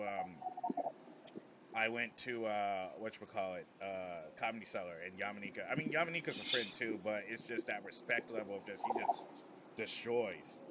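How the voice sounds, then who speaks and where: A man's voice: medium pitch, heavy background noise. A man who works as a comedian, hosting a casual podcast chat in a rambling, joking, slangy way.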